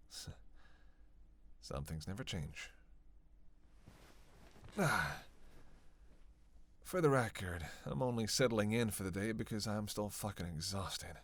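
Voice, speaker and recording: A man's voice: on the low side, gravelly, no echo. A man playing a sardonic, flirtatious male vampire in a roleplay audio drama, with a teasing, playful and intimate manner. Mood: tired, teasing. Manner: teasing, foul-mouthed, playful, intimate delivery with sighs. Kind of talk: scripted roleplay audio drama addressed to listener.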